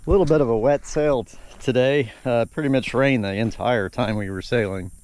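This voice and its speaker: A man's voice: mid-range voice, brisk, darkish tone. Laid-back man narrating an outdoor trip. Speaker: vlogger on a sailing trip. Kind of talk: casual narration to camera. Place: outdoors on a coastal bay camp.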